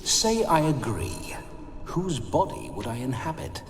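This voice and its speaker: Boastful ghost nobleman, roguish, theatrical, wildly varied pitch, echo, video game with music. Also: huge pitch swings, measured pace, vocal fry, exaggerated voice. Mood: demanding. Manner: pompous, theatrical, archaic turns of phrase.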